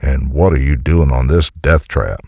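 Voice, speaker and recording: An actor playing a gruff, sardonic American colonel, a hardened wisecracking commando, in an audio comic. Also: male voice, a bass voice, measured pace, darkish tone, no echo, clean studio sound, exaggerated voice. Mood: brusque, suspicious. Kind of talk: dramatic audio comic dialogue.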